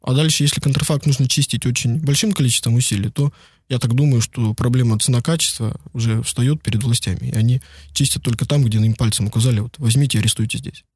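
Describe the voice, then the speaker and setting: Husky guy talking shop in an interview, rambly, fillers everywhere, dry sound. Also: mid-range voice, steady tone, fast talker, darkish tone, no room sound. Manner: conversational, digressive, many fillers. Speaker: guest, law firm partner. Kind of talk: interview answers. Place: lives and works in Beijing.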